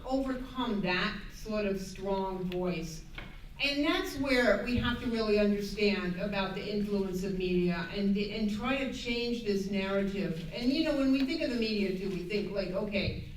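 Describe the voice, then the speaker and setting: An older American woman giving an earnest, emphatic, unscripted advocacy talk as a panel speaker, often halting and rephrasing as she goes. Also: medium pitch. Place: echoey indoor room at a public panel event. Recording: echoey room, distant mic, background noise.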